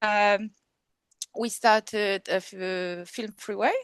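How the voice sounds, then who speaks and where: A woman with a Polish accent, talking as a guest about running a film festival, halting with many restarts and small laughs. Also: medium pitch, fairly even intonation, clear, resonant tone, dry recording. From Poland. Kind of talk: casual interview chat.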